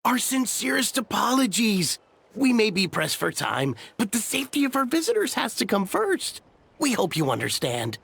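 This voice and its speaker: A man's voice: sits high, huge pitch swings, normal speed, creaky, fairly bright tone, slightly rough, exaggerated voice. A man voicing a polite, formal resort safety organizer who apologizes but stays firm, in a video game. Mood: apologetic.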